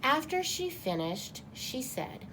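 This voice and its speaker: A woman's voice: sits high, big pitch swings, slow, background noise. A woman reading a picture book aloud to children as a storyteller. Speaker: guest storybook reader.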